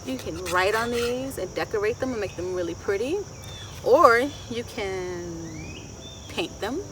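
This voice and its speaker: A woman in her thirties presenting to young children outdoors, asking them questions in an expressive sing-song way. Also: mid-range voice. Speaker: children's story time host and garden educator. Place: outdoors in a wooded garden. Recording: clear outdoor background noise, insects chirring. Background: insects and outdoor ambience.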